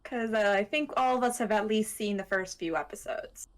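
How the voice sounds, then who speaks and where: Female podcast co-host, medium pitch, thoughtful and halting as she explains, conversational. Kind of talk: casual discussion.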